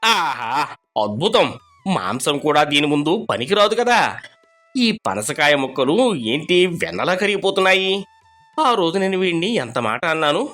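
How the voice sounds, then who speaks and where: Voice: medium pitch, fairly bright tone. Proud, haughty older village rich man played by an actor, cartoon moral tale, swooping delivery, faint music. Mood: delighted. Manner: haughty, exclamatory, dramatic. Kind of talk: animated moral story cartoon acting.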